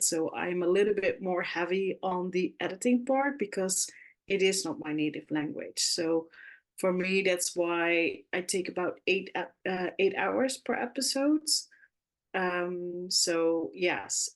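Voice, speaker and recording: A woman with a Dutch accent, a non-native English speaker, earnestly sharing how she runs her podcast. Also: fairly low pitch. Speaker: podcaster sharing in group call. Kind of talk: casual sharing.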